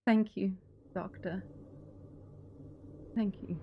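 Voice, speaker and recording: A woman's voice: medium pitch, fairly even intonation, drawn-out words, highs cut off, slight background noise. A woman playing an earnest, emotional, wronged widow in a sci-fi audio drama. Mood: grateful, anxious.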